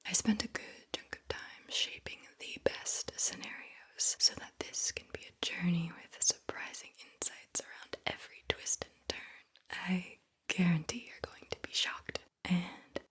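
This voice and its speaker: A woman whispering slowly and soothingly as an ASMR host, guiding the listener deliberately and repeating words. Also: lowish voice, steady tone, fairly bright tone, slightly rough. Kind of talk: whispered ASMR guided visualization.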